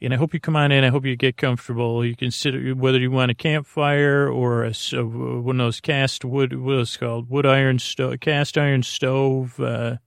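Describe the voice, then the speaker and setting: Creaky-voiced man rambling a bedtime monologue. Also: medium pitch, flat delivery, dry recording, studio quality. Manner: meandering, halting, self-interrupting with tangents and many uhs. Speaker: podcast host. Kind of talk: rambling bedtime monologue to help listeners fall asleep.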